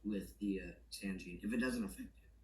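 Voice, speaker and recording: A man chatting casually as a guest on a call, speaking in a tentative, halting way. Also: fairly low pitch. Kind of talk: casual chat. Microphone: online call. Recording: roomy, noisy voice call.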